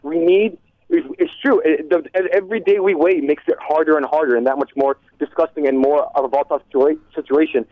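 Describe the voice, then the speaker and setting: A young man calling in to a talk radio show, rushing through his points with frequent restarts. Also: on the high side, steady tone, dry recording. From United States. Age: young adult. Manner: rapid, restarts mid-sentence. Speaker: caller. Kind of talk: radio call-in conversation. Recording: tinny phone line.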